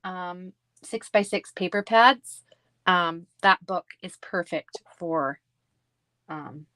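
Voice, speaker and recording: A woman's voice: lowish voice, takes it slowly, fairly bright tone, dry recording. A woman with a Canadian accent chatting casually in a giggly, rambling way as she hosts a craft stream. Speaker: craft livestream host. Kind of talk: casual chat while crafting.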